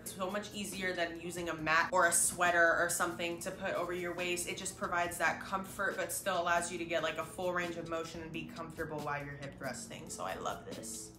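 Chatty young woman, bright echoey room. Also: fairly low pitch. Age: young adult. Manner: chatty, upbeat, holding up gear while talking. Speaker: fitness vlogger. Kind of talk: casual show-and-tell vlog. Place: small bedroom with a couch, indoors. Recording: echoey room with faint music.

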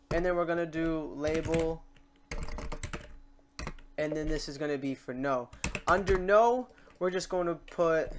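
A tutorial host chatting informally and thinking aloud in a meandering way while working through software steps. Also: mid-range voice. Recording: noisy room, keyboard and mouse clicks. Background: typing and mouse clicking.

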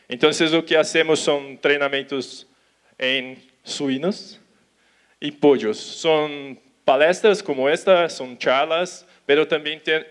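A young man from Brazil with a Portuguese accent, giving a conference talk in halting Spanish on an auditorium stage, talking slowly with restarts. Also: medium pitch, smooth. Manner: slow, careful, with restarts and Portuguese words. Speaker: conference speaker. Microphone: handheld mic.

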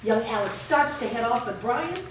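Animated woman narrator in an echoey hall. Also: on the high side, normal speed. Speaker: stage directions reader. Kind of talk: scripted narration at a staged reading. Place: indoor performance room before a small audience. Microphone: far camera. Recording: echoey hall, distant mic, noisy.

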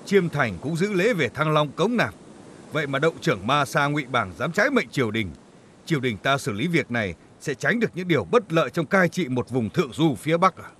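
A man playing a dutiful army general in an animated historical drama, speaking with formal deference. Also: on the high side, a little fast, a little hiss or hum. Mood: respectful, earnest. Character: loyal, dutiful male army general reporting to emperor.

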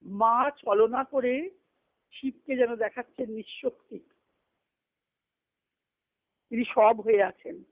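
Over a thin, phone-like connection with very little room sound, a woman gives a devotional discourse. She speaks slowly and reflectively, explaining scripture as a spiritual teacher and answering her own questions. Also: a little high, no room sound. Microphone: phone or conference call. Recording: narrow, tinny phone conference line.

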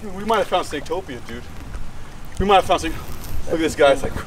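Hyped young guy yelling outdoors, fast and jumpy, far off camera mic w/ noise. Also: a little high. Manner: excitable, shouting exclamations, talks to the camera. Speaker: fishing channel host. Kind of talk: excited outdoor vlogging. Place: outdoors by a canal in the wetlands. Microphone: camera mic from a distance.

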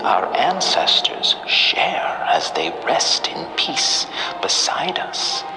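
An actor playing a solemn preacher in an audio drama, hushed and breathy in delivery. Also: male voice, medium pitch, rough recording. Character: solemn preacher speaking for the spirits.

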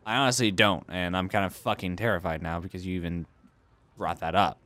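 A man's voice: low-pitched, steady tone, a little fast, a bit bright, no echo. A young man commenting casually on a strategy game he is playing, joking around and arguing with himself. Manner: goofy, argues with himself in silly voices. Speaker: let's play host.

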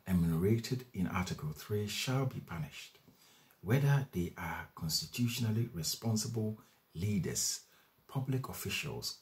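Man in his forties with a very deep voice, legal explainer, measured delivery with fillers, recorded on a webcam in a small room at home. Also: darkish tone. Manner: measured, with frequent fillers and restarts. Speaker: legal educator. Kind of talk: explaining and reading out a legal text. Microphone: webcam at desk distance.

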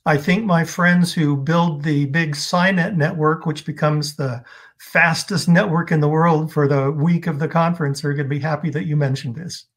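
A man's voice: fairly high pitch, fairly even intonation, normal speed. A man hosting an interview over a video call, asking questions and politely wrapping up. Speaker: interviewer host. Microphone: video call webcam.

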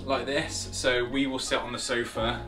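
A man's voice: medium pitch, flat delivery, normal speed, distant mic. A young man, chatting jokingly while showing viewers around his house. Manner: jokey, chatty, teasing. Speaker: vlogger giving a house tour. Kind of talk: casual house tour chat. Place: small carpeted house, indoors. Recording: echoey room, slightly off-mic, music under.

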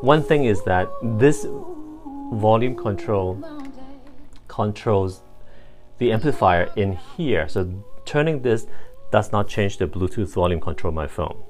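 Male reviewer, lower-pitched, casual and unscripted, halting as he thinks aloud. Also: lively intonation, a little mellow, soft background music. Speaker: product reviewer. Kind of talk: casual hands-on demonstration, unscripted.

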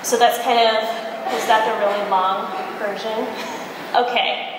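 An American woman giving an informal, chatty conference presentation with lively anecdotes, speaking into a handheld mic on stage. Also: on the high side, measured pace. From Michigan, United States. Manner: chatty, animated storytelling with acted-out quotes. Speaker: entrepreneur speaker, cosmetics brand founder. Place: large indoor expo conference room, on stage. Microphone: handheld microphone through PA, recorded from audience. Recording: very echoey hall, faint background noise.

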